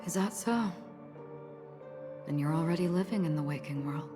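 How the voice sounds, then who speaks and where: A woman's voice: sits low, normal speed, close to an everyday voice. An actress playing a cool, enigmatic woman in dramatic game dialogue. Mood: cool, knowing. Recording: clear music bed behind.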